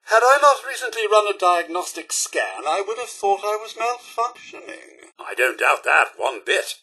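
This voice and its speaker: An actor playing a prim, fussy, snobbish robot butler in a video game, with a British accent and a formal, pompous, theatrically polite manner. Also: male voice, high-pitched, very animated, normal speed, bright, forceful tone, husky, heavily acted. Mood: snooty. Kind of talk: scripted game companion dialogue.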